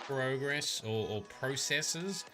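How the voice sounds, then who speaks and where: A man hosting a relaxed live stream, chatting casually with lots of fillers about his mod making. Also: medium pitch, slow pace. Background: faint radio music.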